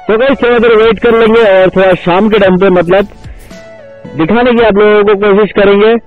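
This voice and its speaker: Young male motovlogger, high-pitched and chatty, tinny phone-like audio with music under it. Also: fairly even intonation, brisk. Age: young adult. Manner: chatty, keeps saying "guys", pleads for support. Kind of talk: casual ride commentary. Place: outdoors riding a motorcycle on country roads. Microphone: action camera on the bike.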